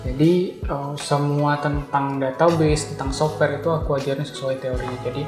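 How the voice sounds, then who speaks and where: A man's voice: a little high, fairly even intonation, normal speed. A young man explaining things in a casual chat as an interview guest. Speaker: interview guest, backend developer. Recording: roomy echo, music behind voice. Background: background music.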